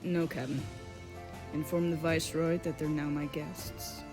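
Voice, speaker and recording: Courteous gentleman over clear music acted. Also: mid-range voice, steady tone, measured pace, natural voice. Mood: calm, courteous. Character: courteous, calmly authoritative gentleman creator of a painted world. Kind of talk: fan dub character acting.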